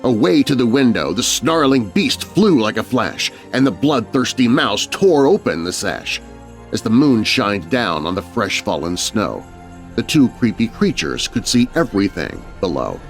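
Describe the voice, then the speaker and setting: A male narrator tells a spooky children's tale in rhyme. His delivery is theatrical and very animated, with music underneath. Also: lowish voice, a little slow. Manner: theatrical, rhyming storyteller delivery. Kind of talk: dramatic children's horror story narration.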